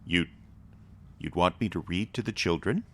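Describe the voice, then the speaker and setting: An actor playing a gentle, courtly old department-store Santa in a radio drama, with old-fashioned, softly chiding phrasing. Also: male voice, sits low, moderate pace, a little hiss or hum. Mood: hesitant, touched.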